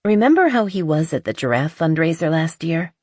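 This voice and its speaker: A woman voicing a blunt, honest, skeptical but loyal best friend in an audiobook, with a dry teasing manner and husky voice. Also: low voice, big pitch swings, fairly quick, darkish tone, dry recording.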